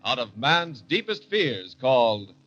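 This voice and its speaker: An actor playing a grave radio announcer, presenting a mystery show in a measured, grandiose 1940s style. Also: male voice, mid-range voice, very animated. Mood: dramatic. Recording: dull vintage radio recording, loud music behind. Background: dramatic music.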